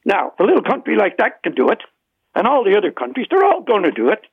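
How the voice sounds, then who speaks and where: A man with an Irish accent and a gravelly, husky voice, giving an impassioned opinion as a caller on a phone line. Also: sits high, wildly swooping pitch, fast, no echo. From Ireland. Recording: narrow tinny phone line.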